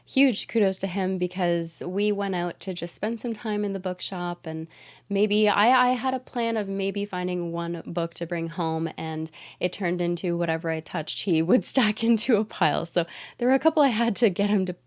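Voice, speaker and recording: A woman's voice: medium pitch, moderate pace. A young woman from Canada hosting a casual book haul video, chatty and upbeat with frequent laughs, sounding a little congested from a mild cold. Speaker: booktube host.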